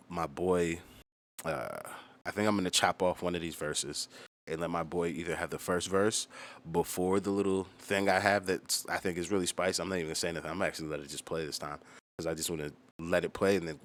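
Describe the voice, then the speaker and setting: A man's voice: low voice, fairly bright tone. An American man talking casually about his own music as a rapper and video host, rambling and swearing freely. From United States.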